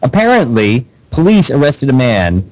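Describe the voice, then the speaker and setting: A man's voice: medium pitch, very animated, normal speed, darkish tone, rough recording. A man co-hosting a casual radio show, reading news items in a dry, hesitant way with lots of fillers.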